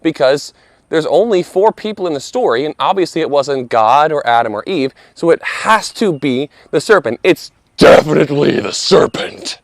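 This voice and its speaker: A man in his thirties, slightly hoarse from a lingering cough, giving an earnest, conversational commentary with playful asides as host of his video channel. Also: a little high, lively intonation, normal speed, no room sound, clean studio sound. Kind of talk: casual scripted commentary.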